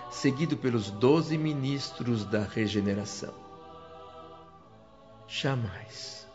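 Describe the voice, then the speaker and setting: A man's voice: mid-range voice, lightly acted. A man with a Brazilian accent playing a reverent newcomer who recounts his awe in solemn radio drama narration. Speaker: narrator and lead actor. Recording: dull lo-fi radio drama, music behind. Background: music.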